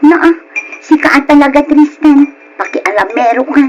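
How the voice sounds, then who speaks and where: A performer voicing a caring, gently scolding mother with an Ilocano accent in a radio drama, a woman. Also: high voice, unhurried, lo-fi sound, soft background music. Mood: fussing, gently scolding. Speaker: drama voice actor.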